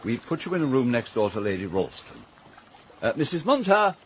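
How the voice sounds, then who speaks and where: Very animated, courteous British gentleman. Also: medium pitch, a little fast, a little hiss or hum. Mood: welcoming. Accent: British English. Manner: courteous, welcoming. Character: genial, smooth gentleman host of an island castle. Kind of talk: British radio drama acting.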